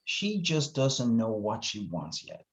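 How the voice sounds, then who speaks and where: An actor playing an awkward, sarcastic, lovesick young man in a screenplay table read, with halting, stammering delivery. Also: mid-range voice, very animated, highs cut off. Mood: sheepish.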